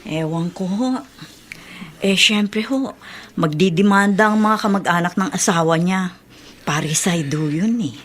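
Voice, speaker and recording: A woman's voice: lowish voice, unhurried, a bit bright. A Filipino woman playing a chatty, respectful teacher in a radio drama, telling things in a conversational, deferential way. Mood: matter-of-fact.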